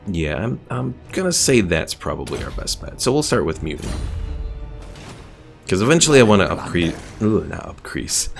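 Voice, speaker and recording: A man's voice: sits low, big pitch swings, normal speed, gravelly, faint music behind. A man streaming a game with casual live commentary, thinking aloud as he plays. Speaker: gaming streamer.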